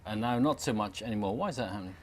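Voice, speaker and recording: A man presents a morning TV show in a chatty manner. He talks quickly, with faint noise behind him. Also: fairly low pitch. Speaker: breakfast TV co-presenter.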